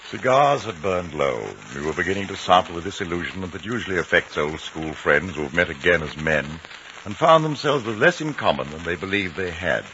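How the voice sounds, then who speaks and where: An actor playing an educated, reflective English man telling a story in a measured literary manner, in an old radio drama. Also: low voice, lively intonation, bright, forceful tone. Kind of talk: 1950s radio drama narration. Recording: dull, noisy vintage radio recording.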